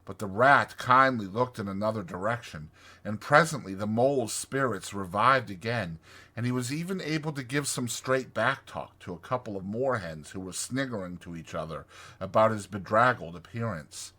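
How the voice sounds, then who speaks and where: A man reading a classic storybook as an audiobook narrator, in an expressive scripted style. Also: on the low side, moderate pace.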